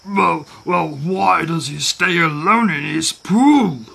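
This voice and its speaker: A woman voicing wary, questioning river animals in a children's folktale, with drawn-out speech. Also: fairly low pitch, expressive, vocal fry, fairly bright tone, breathy edge, voice pushed down, heavily acted. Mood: curious.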